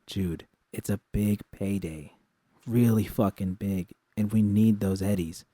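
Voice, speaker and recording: A man acts out a guarded young woman mercenary in a cyberpunk audiobook. The voice sits low and soft-edged, with terse, slangy, understated phrasing. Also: fairly even intonation, measured pace, dry recording.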